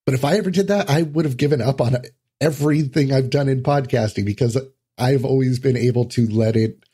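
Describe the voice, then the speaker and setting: A male podcast guest talks in a dark, mellow voice with a husky rasp. He explains things casually, in a loose conversational style. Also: mid-range voice, fairly quick.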